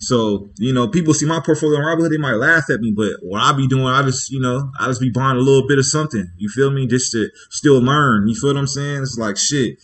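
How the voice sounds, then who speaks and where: A man's voice: medium pitch, fairly quick, few pauses, a bit bright. A man hosting a casual livestream, talking in a loose, slangy way, rambling and checking in with his listeners as he goes. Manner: loose and slangy, with frequent "you feel me" check-ins; rambling, thinking aloud with restarts. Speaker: livestream host.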